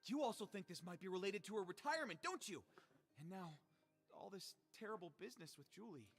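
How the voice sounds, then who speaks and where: An actor playing an excitable, ambitious young male reporter in a video game, theatrical and earnest, with a self-important streak. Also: sits high, brisk, slightly rough, soft background music, full character voice. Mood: reflective. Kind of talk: video game character dialogue.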